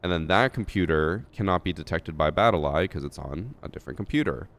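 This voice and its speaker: A man's voice: low voice, animated, fairly quick, fairly bright tone. A young man streaming a shooter game, chatting casually with his chat and thinking aloud with fillers, a little stuffy from a head cold.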